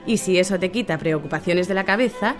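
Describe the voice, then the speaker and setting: A woman's voice: on the low side, quick pace, soft background music, close to an everyday voice. An actress in a satirical radio drama playing a pragmatic, exasperated mother who reasons sarcastically, with a Castilian Spanish accent. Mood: insistent.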